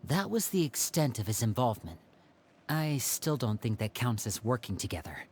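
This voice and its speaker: A man voicing a poised, persuasive young man in a video game, speaking in a calm, reasoned way. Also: medium pitch, normal speed, a little hiss or hum. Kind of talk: video game cutscene dialogue.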